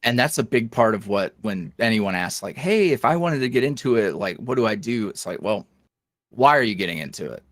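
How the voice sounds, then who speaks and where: A man, an ASMR roleplay creator, chatting casually as a guest in an interview, animated and self-deprecating with plenty of fillers. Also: on the low side, fairly quick, no room sound, clean studio sound.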